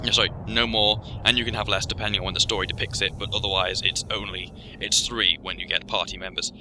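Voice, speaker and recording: A man's voice: lowish voice, flat delivery, fast, noisy background. A man giving a casual, rambling gameplay explanation as he plays. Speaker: game commentator. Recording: game music behind voice. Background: video game music.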